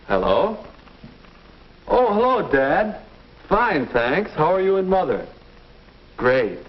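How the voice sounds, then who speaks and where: A man's voice: high voice, sing-song, slightly rough, full character voice. A young American man acting as an earnest teenage boy thinking aloud in a 1950s educational film. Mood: cheerful. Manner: deliberate, self-questioning, earnest. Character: earnest teenage boy working out his future. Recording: dull vintage 1950s film soundtrack.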